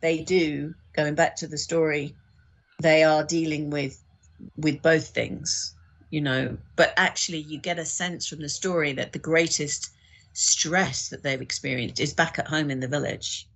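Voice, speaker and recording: A woman's voice: sits low, steady tone, unhurried. A woman guest on a podcast, talking thoughtfully in a conversational way.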